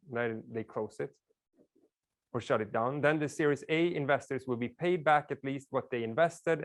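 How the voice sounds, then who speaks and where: A man's voice: mid-range voice, a bit bright. A man whose first language is Swedish, giving a casual classroom lecture and explaining things with frequent "like" fillers.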